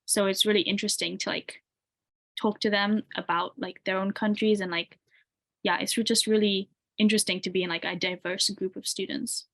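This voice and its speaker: A woman's voice: mid-range voice, normal speed. A woman in her twenties, a university student, answering interview questions casually with lots of "like" and "um". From UK, grew up in Tanzania. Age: 20s. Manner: relaxed, many "like" and "um" fillers.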